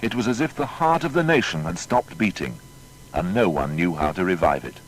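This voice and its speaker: Deep-voiced man narrating with lively intonation. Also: a little fast, poor audio. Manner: dramatic storytelling, formal. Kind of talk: scripted documentary narration. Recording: faint noise and low rumble.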